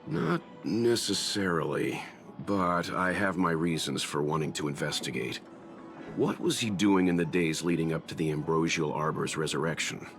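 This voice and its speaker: An actor voicing a middle-aged male investigator in a video game, courteous, measured and a little hesitant. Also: very deep voice, expressive, gravelly, a bit bright, soft background music, heavily acted. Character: reserved, polite older male investigator.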